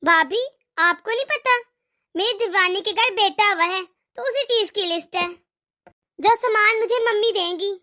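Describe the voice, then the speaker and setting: Woman acting as spoiled married daughter, extremely high pitch, boastful, scornful, insistent, animated family drama. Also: unhurried, no room sound, clean studio sound, heavily acted. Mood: entitled.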